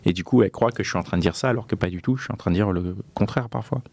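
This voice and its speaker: Low-voiced man, halting and dry. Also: a little mellow, no room sound. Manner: halting, searching for words. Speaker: podcast co-host. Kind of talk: casual reflective conversation.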